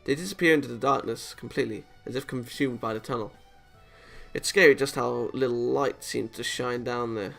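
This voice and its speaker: A man narrates a visual novel with theatrical expression and a noticeably gravelly, creaky edge to his voice. His pitch sits in an average male range, and faint music plays behind him as he reads, now and then tripping on a word. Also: moderate pace, a bit bright. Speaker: let's-play host reading a visual novel aloud. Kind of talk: expressive read-aloud narration of a game.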